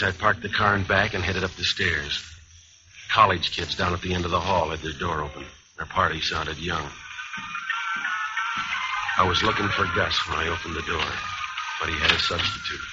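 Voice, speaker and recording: A man acting a world-weary hardboiled jazz cornetist in a vintage American radio noir, laconic, wry and deadpan. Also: deep voice, background noise, close to an everyday voice. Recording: muffled old radio recording, music behind.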